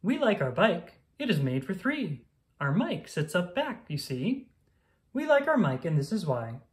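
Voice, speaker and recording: A woman reading a rhyming children's picture book aloud in an expressive, singsong storytelling style. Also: lowish voice, measured pace.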